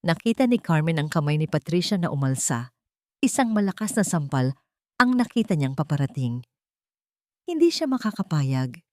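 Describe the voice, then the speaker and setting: A woman's voice: deep voice, big pitch swings, moderate pace, no room sound, clean studio sound. A woman narrating a scripted romantic melodrama in a dramatic storytelling style.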